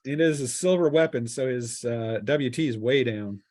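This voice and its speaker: Halting man running a tabletop game. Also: mid-range voice, darkish tone, slight background noise. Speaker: game master. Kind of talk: improvised narration of a tabletop game.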